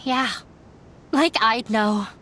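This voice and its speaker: A slow, resigned young woman. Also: fairly high pitch, a little hiss or hum. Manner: quiet, resigned, wistful. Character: sad young woman hurt by strict father. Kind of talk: game voice acting.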